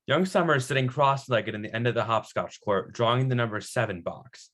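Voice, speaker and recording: A man's voice: mid-range voice, fairly quick, fairly bright tone. A man reading screenplay stage directions as narrator at a table read.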